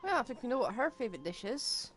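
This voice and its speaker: A woman's voice: fairly high pitch, big pitch swings, fast talker. A woman giving casual, wryly joking commentary as she streams a game.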